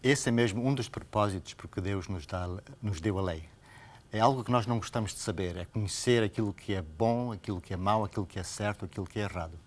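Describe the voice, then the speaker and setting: A man's voice: on the low side, quick pace. A man from Angola with an Angolan Portuguese accent, a pastor teaching about the Bible in conversation, earnest and didactic with emphatic repetition. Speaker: pastor, programme guest.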